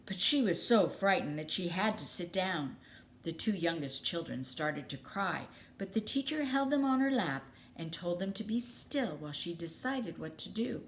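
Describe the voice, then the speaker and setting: A woman reading a children's story aloud expressively, with dramatic pauses and emphasis. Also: lowish voice.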